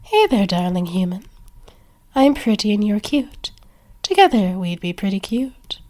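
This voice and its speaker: A woman's voice: medium pitch, sing-song, unhurried, darkish tone. A woman acting a haughty, possessive vampire who flirts and threatens in an ASMR roleplay, theatrical and often half-whispered. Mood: seductive.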